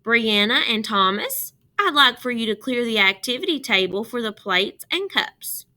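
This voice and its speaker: A woman's voice: on the high side, animated, normal speed, sharp tone. A woman voicing a friendly, orderly schoolteacher in a storybook, giving her class polite, projected instructions. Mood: brisk, polite. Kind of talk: storybook read-aloud.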